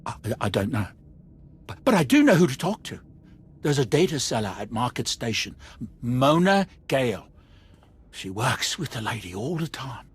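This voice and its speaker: An actor playing a nervous, shifty street informant with a husky voice and slangy, stammering speech in a video game. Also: male voice, medium pitch, big pitch swings, moderate pace. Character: nervous, shifty male street-level informant, eager to placate.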